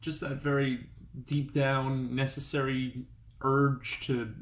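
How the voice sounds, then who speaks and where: Man in his 20s, mid-pitched, rambly and jokey, echoey room with faint hiss. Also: little pitch movement, slow. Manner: joking, rambling with fillers. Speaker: podcast guest. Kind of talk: casual chat.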